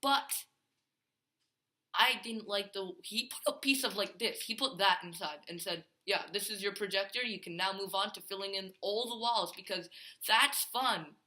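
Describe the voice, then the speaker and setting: A man's voice: high voice, normal speed, bright, forceful tone. A male gaming host giving a casual, rambling tour of a Minecraft build, full of fillers and restarts.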